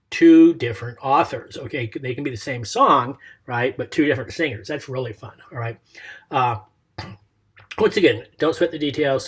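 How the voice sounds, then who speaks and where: A man's voice: mid-range voice, breathy edge. A middle-aged man teaching a recorded college lecture, losing his voice, rambling and tacking "okay?" onto his points. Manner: rambling, checks in with "okay?" and "right?". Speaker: college instructor.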